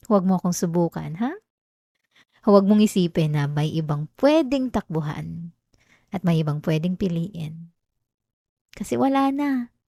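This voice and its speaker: A woman's voice: fairly low pitch, gravelly, warm tone, no echo, studio quality. A woman with a Filipino accent playing an obsessive, possessive girlfriend in an intimate ASMR roleplay, coaxing and clingy with veiled menace. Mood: calm, threatening. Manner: clingy, coaxing, breaking into giggles, veiled threats. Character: possessive obsessive girlfriend, sweetly menacing, unhinged yandere lover. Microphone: close dry microphone.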